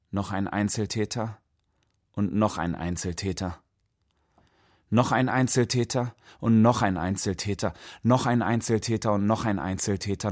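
An actor playing a grieving, bitter young man of immigrant family in a radio play. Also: fairly low pitch. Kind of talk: radio play acting, poetry recital.

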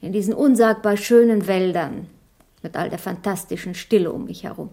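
An actress plays an embittered wife in a 1980s radio drama. Her voice is medium in pitch. Her delivery is biting, scornful and sarcastic. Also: moderate pace, dark tone, natural voice. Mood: bitterly sarcastic. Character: bitter, scornful wife, failed playwright.

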